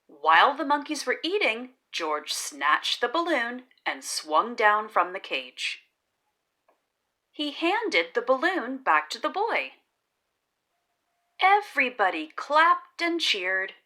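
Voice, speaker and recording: A woman reads a children's story aloud in a bright, fairly high voice. Her delivery is unhurried and theatrical, with very wide swings in pitch. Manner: deliberate, animated storytelling delivery. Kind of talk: expressive scripted children's story narration.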